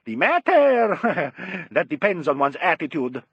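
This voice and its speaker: A man's voice: a little high, big pitch swings, slow pace, husky, dry recording, lo-fi sound, voice pushed up, full character voice. A man voicing a worldly Italian singing teacher in an audiobook, with an Italian accent and a courtly, theatrical, dryly mocking manner. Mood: dry, amused. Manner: courtly, theatrical, dry, formal phrasing. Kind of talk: audiobook character dialogue.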